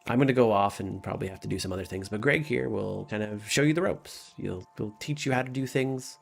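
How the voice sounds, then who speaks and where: Tabletop scout leader, female male player: low, creaky, hesitant, apologetic, rambling. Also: fairly quick. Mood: earnest, explaining. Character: tall, awkward, apologetic woman, leader of scouts.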